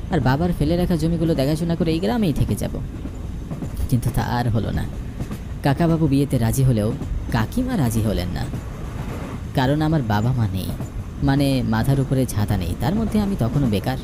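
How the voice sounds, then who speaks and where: A man tells a scripted tale as its first-person narrator. His delivery is emotive and animated, with pitch that moves freely, and music plays clearly behind him. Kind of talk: dramatic scripted narration of a ghost story.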